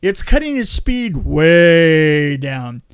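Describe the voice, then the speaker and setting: A man in casual chat, telling anecdotes in a rambling, animated way. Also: a little high, slow pace, clear, resonant tone, dry recording. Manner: rambling storyteller, acts out sound effects.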